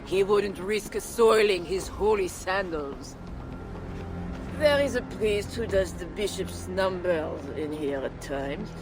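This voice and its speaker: Slow-talking, sardonic tavern keeper. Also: male voice, sits high, animated, gravelly, full character voice, low-quality recording. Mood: wry. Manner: sardonic, drawling. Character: wry, world-weary tavern keeper, sarcastic but amiable. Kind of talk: video game character acting. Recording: music behind the voice.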